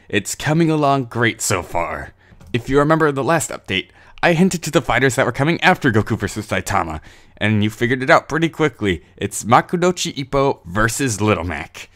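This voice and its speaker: A man's voice: medium pitch, a bit bright. A man giving a casual, informal channel update as host, with jokey, goofy asides. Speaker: host of an animated fight series channel.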